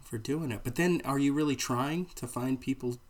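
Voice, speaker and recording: A man co-hosting a podcast in casual chat, loose and profane with deadpan jokes. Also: mid-range voice, normal speed. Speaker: podcast co-host.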